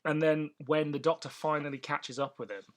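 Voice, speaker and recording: A man's voice: on the high side, steady tone, fairly quick. A man chatting casually as a podcast co-host, with a wry, opinionated way of talking. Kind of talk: casual chat.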